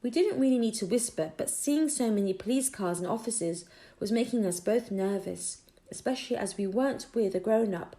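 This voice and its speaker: A woman narrating a children's audiobook expressively, with deliberate storytelling phrasing. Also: mid-range voice, lively intonation, mellow. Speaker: audiobook reader.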